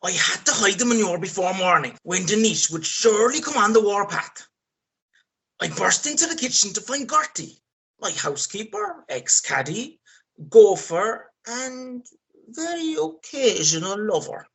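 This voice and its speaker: A man playing a scheming, excitable Irish countryman in a radio play, talking in a colloquial, cajoling way. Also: sits high, unhurried, heavily acted, poor audio. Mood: excited, confiding. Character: scheming, excitable Irish countryman sneaking about a horse farm. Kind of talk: radio play acting and first-person storytelling.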